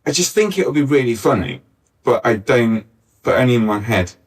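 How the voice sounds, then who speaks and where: A man's voice: medium pitch, sing-song, normal speed, breathy edge. A man talking casually as a panelist at a live event, rambling and jokey, often interrupting himself. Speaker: pantomime writer, panelist. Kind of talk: casual, joking panel talk. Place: arts centre stage, live panel. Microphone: distant backup recorder. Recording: distant mic, uneven backup recording.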